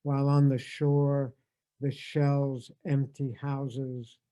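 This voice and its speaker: A man speaking in a measured, deliberate way at a poetry reading held over a video call. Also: mid-range voice, little pitch movement, dark tone. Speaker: poet, reader at a tribute event. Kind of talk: informal introduction and poetry reading.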